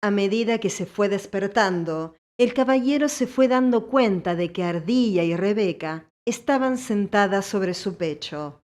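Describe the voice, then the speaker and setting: A woman's voice: medium pitch, lively intonation, a little slow, few pauses, darkish tone. A woman reading scripted story narration as an audiobook narrator.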